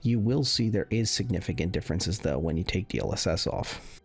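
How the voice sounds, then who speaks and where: A man giving casual, unscripted commentary as a gaming video creator, explaining things in a halting way. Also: deep voice, expressive, creaky, breathy edge.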